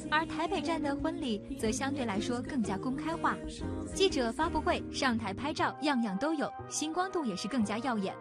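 A woman's voice: sits high, lively intonation, moderate pace. A woman reporter reading scripted entertainment news narration. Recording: loud music under voice. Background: loud music.

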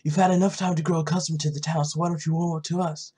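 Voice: medium pitch, flat delivery, fast talker, darkish tone, husky, close to an everyday voice. A gamer as a brash, chummy young builder. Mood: pushy.

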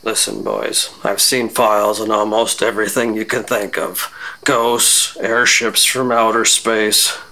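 An actor playing a gruff, worldly military colonel in an old-style radio drama. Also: male voice, fairly low pitch, level tone, a little slow, sharp tone, slight background noise, full character voice, rough recording. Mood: confiding. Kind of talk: 1940s radio drama acting.

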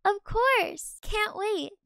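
A woman's voice: extremely high pitch, lively intonation, extremely slow, gravelly, bright, forceful tone, exaggerated voice. A young female voice actor playing a snappy, sassy teenage schoolgirl in an amateur game movie.

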